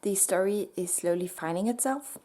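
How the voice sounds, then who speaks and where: A woman's voice: medium pitch, moderate pace. Chatty woman book vlogger. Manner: chatty, relaxed, with frequent "um" and "like". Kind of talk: casual vlog chat.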